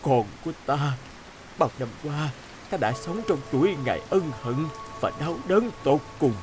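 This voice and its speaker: An actor playing a lonely, remorseful old father in an animated drama, speaking in an emotional, sorrowful way. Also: on the high side, a little slow, music in the background, low-quality recording. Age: older.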